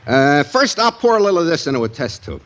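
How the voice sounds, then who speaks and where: An actor with an American accent playing a careless, overconfident best buddy who schemes in a 1940s radio comedy. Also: male voice, on the high side, big pitch swings, fast talker, fairly bright tone, muffled recording. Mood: breezy, confident. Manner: breezy, overconfident. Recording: noisy vintage 1940s radio broadcast recording.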